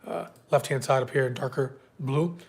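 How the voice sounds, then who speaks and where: A man's voice: mid-range voice, moderate pace, creaky. A man with a stuffy nose from a cold, hosting a live trading stream in a loose, filler-heavy way and greeting viewers by name. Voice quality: slightly congested. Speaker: day trading livestream host. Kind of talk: casual live market prep walkthrough.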